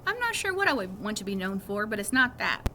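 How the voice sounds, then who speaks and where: A woman's voice: medium pitch, very animated, a little fast, bright, forceful tone. A woman reading a children's novel aloud as a first-person story. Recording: clearly noisy background.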